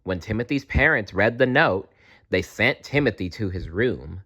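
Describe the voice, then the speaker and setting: A man reading a children's book aloud as a storyteller in an animated style. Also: lowish voice, normal speed, slightly rough.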